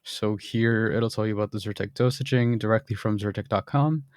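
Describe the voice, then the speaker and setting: A young man with a fairly low voice walks through a screen-recorded software demo in a casual, explanatory way. He fills pauses with ums and keeps clearing his throat. The sound is dry and close, with no room behind him. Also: no room sound. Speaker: software developer demoing his bot.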